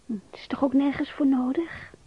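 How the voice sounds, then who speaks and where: A woman's voice: high-pitched, steady tone, moderate pace, lightly acted. An actress playing a passionate, yearning young bride in a radio drama, sighing as she talks. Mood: troubled. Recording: dull radio recording, faint noise.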